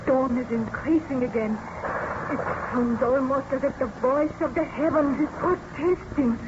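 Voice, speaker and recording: An actress playing an anxious, pious fiancée, earnest and melodramatic in an old radio serial. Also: female voice, sits high, a little slow. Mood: fearful. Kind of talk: 1930s-40s radio serial acting. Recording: muffled, noisy old radio recording.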